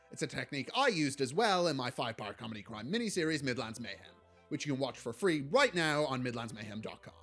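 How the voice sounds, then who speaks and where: A man giving a scripted video essay review as a film critic, in a measured, articulate and analytical way. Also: medium pitch, a bit bright. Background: background music.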